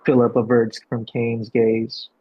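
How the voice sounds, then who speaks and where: A man working as a director, explaining and reading out a script, with a gravelly, husky voice. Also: mid-range voice, completely flat delivery, a little slow. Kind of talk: explanatory coaching and script narration. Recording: dull, lo-fi sound.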